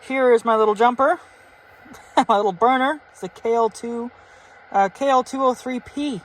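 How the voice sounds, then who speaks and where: A man's voice: extremely high pitch, normal speed, slight background noise, low-quality recording. A man giving a casual, chatty, enthusiastic show-and-tell as a hobbyist vlogger. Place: in and around a pickup truck.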